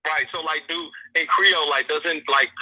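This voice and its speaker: A man calling in to a podcast over the phone, speaking earnestly and haltingly with many fillers. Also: fairly high pitch, steady tone. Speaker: guest calling in. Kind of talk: casual podcast conversation. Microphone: phone call. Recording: tinny phone line, husky.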